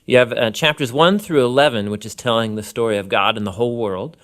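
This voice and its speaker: A man's voice: mid-range voice, quick pace, a bit bright. Man explaining in a conversational way. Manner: explanatory, informal wording. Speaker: Bible teacher, co-host.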